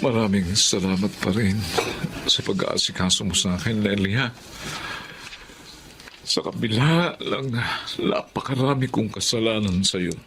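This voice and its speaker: A man's voice: lowish voice, unhurried, creaky. A man playing a guilty, pleading husband in a Filipino radio drama, apt to stammer and make excuses. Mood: subdued, remorseful. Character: flustered, guilty unfaithful husband making excuses.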